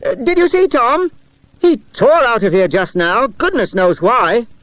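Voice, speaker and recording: Children's audiobook schoolboy sleuth, male reader: insistent, exclamatory, pitched high with very lively delivery. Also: a little slow, no echo, heavily acted. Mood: puzzled. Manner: exclamatory, eager, calling out.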